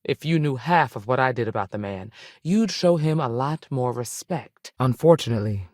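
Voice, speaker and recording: A woman's voice: rumbling low, expressive, normal speed, a little mellow, no room sound, clean studio sound. An actress playing a tough, dutiful woman space ranger in a video game, speaking in a plain, firm manner. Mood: serious, matter-of-fact. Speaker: video game character voice actor. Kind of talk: game dialogue lines.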